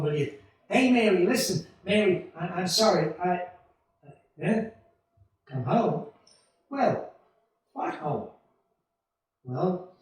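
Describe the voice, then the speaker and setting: Man acting an earnest, high-voiced young man, echoey. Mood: flustered, sheepish. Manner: stammering, folksy, emphatic. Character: earnest, excitable, self-doubting young small-town family man. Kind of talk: 1940s radio play acting. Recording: distant, very echoey stage hall.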